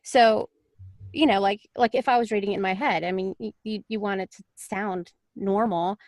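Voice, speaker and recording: A woman's voice: mid-range voice, steady tone, normal speed, a little mellow, no room sound, studio quality. A woman talking casually and chattily as an audiobook narrator on a panel.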